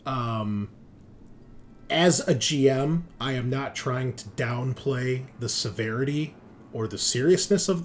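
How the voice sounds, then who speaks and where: A man working as game master, recapping and narrating a tabletop game session in a halting, conversational way, thinking aloud. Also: medium pitch, a little hiss or hum.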